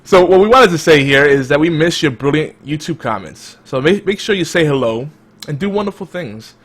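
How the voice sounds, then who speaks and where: A man hosting a casual podcast chat, talking in a hyped-up, loud, energetic way. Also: on the high side, brisk. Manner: energetic, self-described loud and hype. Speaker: podcast host.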